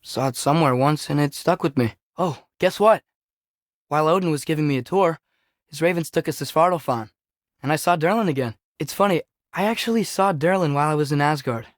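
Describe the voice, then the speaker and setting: A man's voice: a little high, fairly quick, no echo, clean studio sound, close to an everyday voice. A young man playing a curious, earnest teenage boy in a Norse myth video game, talking in a natural, slightly hesitant way. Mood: casual, thoughtful.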